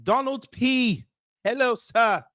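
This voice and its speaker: A man's voice: very high-pitched, fairly bright tone, no echo, clean studio sound, exaggerated voice. A man hamming up a pompous Nigerian prince. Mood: grandiose. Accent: put-on Nigerian. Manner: grandiose, formal, slow and deliberate, greets viewers one by one. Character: pompous jet-setting self-proclaimed Nigerian prince, adult man. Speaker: livestream host. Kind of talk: comedic character livestream.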